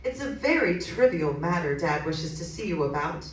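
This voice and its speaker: A woman's voice: sits low, sing-song, normal speed. A woman playing a polite, genteel young lady of an English manor in an old-time radio drama. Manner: courteous, genteel. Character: polite young English daughter receiving a guest. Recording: roomy, distant mic.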